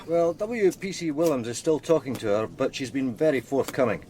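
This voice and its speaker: An actor playing a measured, deferential male detective explaining evidence to his superior in a radio drama. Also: mid-range voice, animated, mellow, a little hiss or hum, lightly acted. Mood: measured, matter-of-fact. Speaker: police officer.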